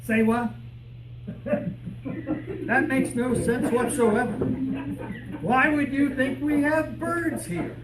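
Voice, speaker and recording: A man's voice: very high-pitched, takes it slowly, long pauses. A man playing a baffled, impatient supervisor in an old-time radio comedy style. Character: baffled, impatient male animal-control supervisor. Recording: echoey, noisy room, distant mic.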